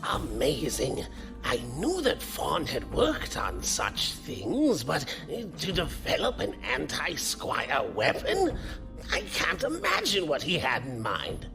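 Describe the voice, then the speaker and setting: A man playing an excitable, moralistic scientist professor in a science fiction audio drama, with theatrical, drawn-out exclamations. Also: fairly high pitch, vocal fry, over music, full character voice. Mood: amazed.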